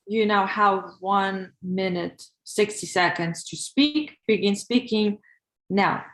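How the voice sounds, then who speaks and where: A woman's voice: mid-range voice, echoey room, poor audio. A woman from Ukraine, a pharmacist preparing for an English exam, speaking carefully with hesitant fillers. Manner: careful, measured, with hesitant fillers while answering. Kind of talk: practice exam answer and casual chat on a livestream.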